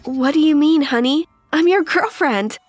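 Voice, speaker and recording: A woman's voice: high voice, normal speed, faint music behind, pitched above the performer's own voice, natural voice. A woman voicing a doting, scheming young girlfriend in a narrated story, emotional and pleading.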